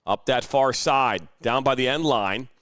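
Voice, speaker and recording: A man's voice: mid-range voice, a little slow, fairly bright tone, no room sound. A man doing live play-by-play commentary on a youth soccer match, chatty and joking between calls. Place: outdoor soccer field broadcast.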